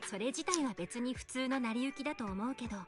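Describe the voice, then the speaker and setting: A woman's voice: on the high side, racing, lightly acted. An actress playing a composed, chatty woman in an anime-style video game. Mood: matter-of-fact. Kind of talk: Japanese video game voice acting. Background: game music.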